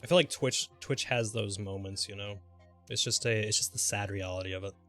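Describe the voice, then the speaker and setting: A man live-streaming a game with casual, exclamatory commentary, reacting out loud and swearing freely. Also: fairly low pitch, fairly even intonation, brisk, faint music behind.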